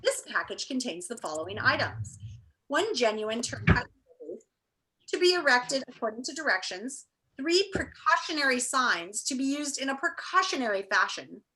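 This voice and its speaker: A woman's voice: sits high, big pitch swings, normal speed, bright, forceful tone, heavily acted, poor audio. Woman as an officious, emphatic storybook notice. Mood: official, deliberate.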